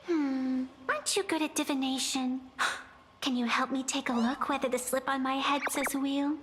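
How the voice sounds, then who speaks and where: Woman acting high-voiced, aloof rabbit-eared fey girl. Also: fairly even intonation, normal speed, noisy background, lightly acted. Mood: teasing. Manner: grandiose, riddling, theatrical. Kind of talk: anime game voice acting.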